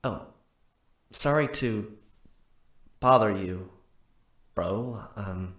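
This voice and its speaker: A man's voice: lowish voice, very slow, a bit bright. A man playing a hesitant, informal henchman in a comic audio drama, drawing out his words. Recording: roomy echo.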